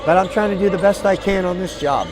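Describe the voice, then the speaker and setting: A hoarse man with a raspy voice doing live, partisan color commentary for a basketball game. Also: high voice, quick pace, noisy background. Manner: partisan, cheers on one team with "we". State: hoarse, tired voice.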